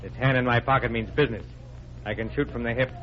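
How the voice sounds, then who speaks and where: A man playing a bullying tough crook who talks in gruff slang, in 1940s radio drama style. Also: mid-range voice, steady tone, fast, close to an everyday voice. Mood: menacing. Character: threatening tough male crook with a concealed gun. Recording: dull vintage radio recording, faint noise.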